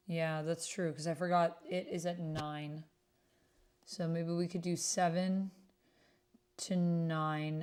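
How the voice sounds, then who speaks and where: Female stream host with a lowish voice, chatty, informal delivery aimed straight at viewers. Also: darkish tone. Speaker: livestream host. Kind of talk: casual chat with livestream viewers.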